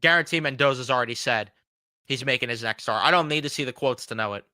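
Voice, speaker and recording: A man's voice: mid-range voice, fast talker, sharp tone, dry recording, studio quality. A man in his twenties hosting a sports fan show, giving blunt, frustrated, swearing commentary while fighting a cold. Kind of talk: casual reaction commentary.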